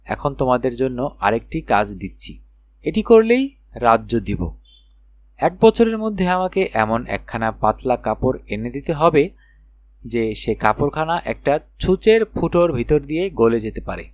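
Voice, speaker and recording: A man voicing a wily old king in a children's fairy tale, measured and commanding in his formal address. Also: medium pitch, sing-song, dry recording. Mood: smooth, stalling. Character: wily old king, reluctant to hand over his throne.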